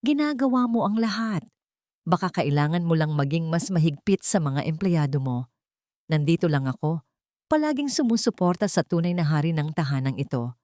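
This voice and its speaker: A woman's voice: low-pitched, lively intonation, moderate pace, mellow, no room sound, studio quality. A woman voicing a manipulative, sweet-talking wife in an audio drama, coaxing. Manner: coaxing, syrupy.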